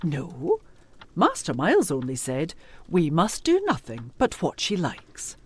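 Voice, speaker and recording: A husky, swooping, anxious housekeeper. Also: female voice, deep voice, wildly swooping pitch, unhurried, exaggerated voice. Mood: meek concern. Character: anxious, deferential housekeeper, simple and kindly. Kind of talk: gothic audio drama acting.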